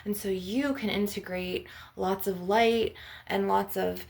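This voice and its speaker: Creaky-voiced woman, earnest spiritual healer. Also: mid-range voice, steady tone, a little slow, darkish tone. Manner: earnest, instructive, often adding "you know" and "okay". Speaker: spiritual healer and ASMR channel host. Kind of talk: spiritual ASMR healing session with rambling talk.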